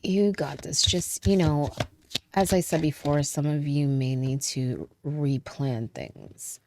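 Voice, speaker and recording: A woman giving a casual tarot reading, chatty, with frequent fillers, trailing pauses and light laughs, and sounding stuffy from a cold. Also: sits low, lively intonation, darkish tone, a little hiss or hum. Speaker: tarot reader.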